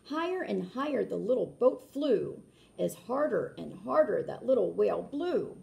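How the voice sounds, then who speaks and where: A woman reading a children's picture book aloud like a teacher, animated, with explanatory asides and questions to her listeners. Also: mid-range voice, a little slow, gravelly.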